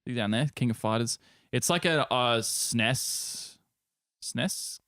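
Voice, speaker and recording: A man's voice: medium pitch, slow, a bit bright, no room sound, studio quality. Blunt, sweary man chatting casually. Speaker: podcast co-host. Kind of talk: casual chat.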